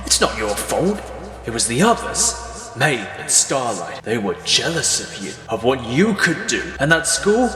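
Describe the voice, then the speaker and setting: A man's voice: mid-range voice, very animated, measured pace. A man voicing the sinister, scornful mirror-self of an arrogant supervillain in an audio drama, coaxing and contemptuous. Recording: long echoing reverb, prominent music.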